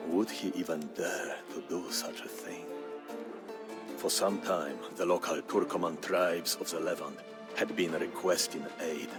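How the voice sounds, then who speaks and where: A man narrating a historical tale as scripted storytelling, in a grave, measured, theatrical manner. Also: low voice. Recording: music behind the voice. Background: music.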